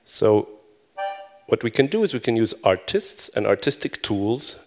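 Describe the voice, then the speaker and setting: A man's voice: lowish voice, very animated, unhurried, music in the background. A middle-aged man whose first language is Hebrew, giving a chatty, self-deprecating conference talk to an audience, full of fillers and restarts. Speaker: conference speaker. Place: conference hall before an audience.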